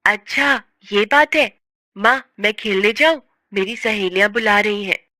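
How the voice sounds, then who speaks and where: A woman's voice: medium pitch, a little slow, sharp tone, dry recording, studio quality. A woman voicing an innocent little girl in a story, sweet, childlike and plaintive. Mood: eager. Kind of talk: dramatized story reading.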